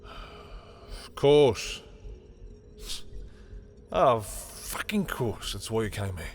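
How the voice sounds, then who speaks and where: A man playing a lovestruck, bitter villain in an intimate audio roleplay, speaking in a halting, emotional way. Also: fairly low pitch, close to an everyday voice. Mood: guarded, flustered. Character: lovestruck, bitter male villain confessing to a rival.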